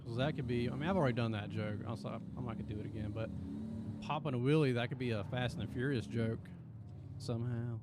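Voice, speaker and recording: A man's voice: medium pitch, fast talker, heavy background noise. A man, rambling casually and chuckling as he streams a game and answers chat questions. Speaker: streamer and video creator. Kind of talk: casual live-stream chat while playing.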